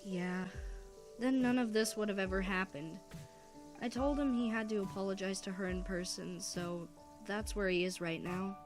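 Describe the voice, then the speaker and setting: A woman voicing an earnest young daughter pony in an animated fan drama. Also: mid-range voice, normal speed, over music, close to an everyday voice. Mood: subdued.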